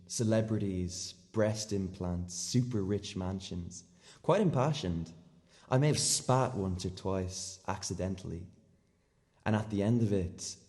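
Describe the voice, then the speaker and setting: Deadpan, naive schoolboy played by a man, slow and low. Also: gravelly. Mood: bewildered. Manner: clipped, fragmented, deadpan storytelling. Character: naive, earnest, misunderstood seventeen-year-old schoolboy. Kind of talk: radio play monologue. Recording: long hall-like reverb.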